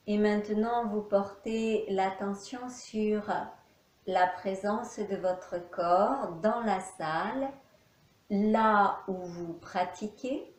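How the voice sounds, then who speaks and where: A woman teacher guiding a yoga nidra relaxation session in slow, soft, calm instructions with long pauses. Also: medium pitch, reverberant. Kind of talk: guided relaxation.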